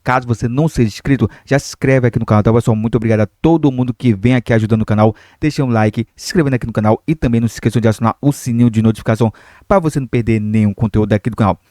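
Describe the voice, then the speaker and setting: A man's voice: medium pitch, very fast, warm tone, dry recording, studio quality. A young Brazilian man with a cold hosting a football commentary channel, talking casually to his viewers with lots of fillers. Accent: Brazilian Portuguese. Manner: chatty, many fillers, addresses viewers directly. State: recovering from a cold, irritated throat.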